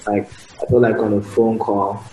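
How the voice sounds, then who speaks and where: A young man with a Nigerian accent, his first language Igbo, chatting softly and casually as a guest in a radio interview. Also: lowish voice, normal speed, slight background noise. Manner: soft-spoken, relaxed, lots of fillers. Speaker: guest musician. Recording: roomy sound with faint ambience.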